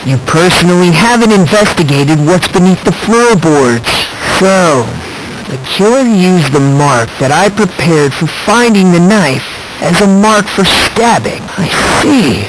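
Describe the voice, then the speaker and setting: An unsettling, hope-obsessed teenage boy from a video game. His speech is courteous and needling, sliding up and down in pitch with theatrical flair. The clip has clear background noise. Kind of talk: English video game voice acting.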